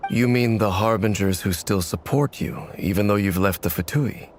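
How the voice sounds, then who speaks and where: A man's voice: lowish voice, normal speed, a little hiss or hum, natural voice. A man voicing a brooding, world-weary, long-lived warrior in a video game, speaking with grave, formal restraint. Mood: bitter, grave.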